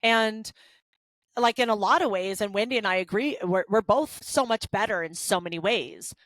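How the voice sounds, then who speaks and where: A woman's voice: mid-range voice, moderate pace, a bit bright, dry recording. A woman in her thirties co-hosting a podcast, talking candidly in a casual chat with many fillers and restarts. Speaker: podcast co-host.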